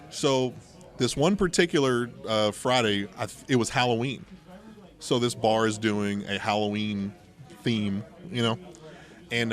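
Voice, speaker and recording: Male podcast host, mid-pitched and informal, spinning personal anecdotes conversationally and voicing quoted exchanges. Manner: informal, acts out quoted dialogue. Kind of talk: casual anecdotal storytelling chat.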